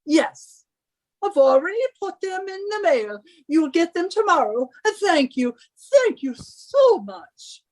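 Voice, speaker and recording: A woman's voice: very high-pitched, big pitch swings, slow pace, heavily acted, poor audio. A woman playing an imperious, scornful, middle-aged British wife who scolds and gives orders in a radio suspense drama. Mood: brisk, businesslike.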